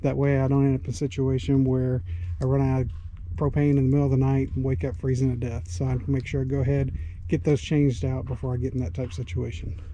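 Southern middle-aged man, mellow and even-toned, casual how-to vlog, faint outdoor hiss. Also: mid-range voice, fast talker, rough recording. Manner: easygoing, plain-spoken, with frequent uh fillers. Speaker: RV vlogger. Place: outdoors at a snowy campground and inside an RV.

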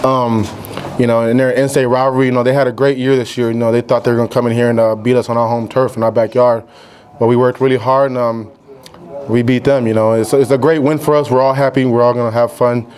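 A young man talks quickly and cheerfully at a postgame press conference. He rambles through his answers and leans on casual fillers, sounding happy after the win. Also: mid-range voice, little pitch movement, darkish tone. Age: young adult. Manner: upbeat and rambling, with many fillers. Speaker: college defensive end.

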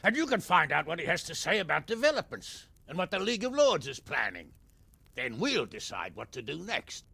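An actor playing a blunt, earnest middle-aged nobleman in a video game cutscene, with a gravelly, husky voice. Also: on the high side, sing-song, brisk, bright, forceful tone, no echo, exaggerated voice.

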